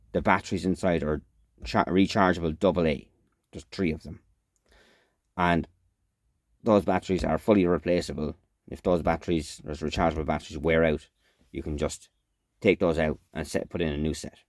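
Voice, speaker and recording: Guy with a really deep voice doing an off the cuff product demo, kinda halting and matter of fact. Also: darkish tone, no room sound, clean studio sound. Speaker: product reviewer. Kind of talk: casual unscripted product demonstration.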